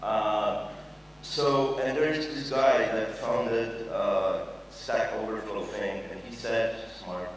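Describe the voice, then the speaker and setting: A man's voice: fairly low pitch, fairly even intonation, takes it slowly, hardly any pauses, distant mic, a little hiss or hum. A young man gives a casual, joking conference talk, full of fillers and the odd swear word. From Poland. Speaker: conference speaker. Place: large conference hall with an audience. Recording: echoey hall recorded from afar.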